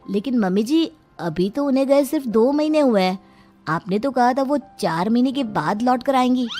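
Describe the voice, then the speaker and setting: A woman's voice: fairly high pitch, expressive, moderate pace, darkish tone, natural voice. A woman voicing a young, whiny, eager freeloading son-in-law in a cartoon family drama. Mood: puzzled. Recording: music bed under the voice.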